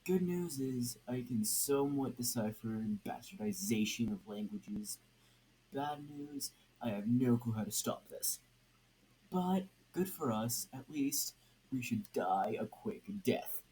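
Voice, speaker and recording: A man's voice: medium pitch, lively intonation, slow, dark tone. A man voicing a cynical, grumbling chain-smoking occult magician for an amateur story reading, with a sardonic manner. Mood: bleak, sardonic. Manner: sneering, sardonic. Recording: noisy amateur home recording.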